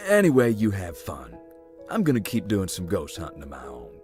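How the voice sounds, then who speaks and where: A cagey ghost hunter in a visual novel. The man's voice is deep and swings widely in pitch, teasing and secretive, with faint music behind. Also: wildly swooping pitch, moderate pace, a little mellow. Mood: sly, amused.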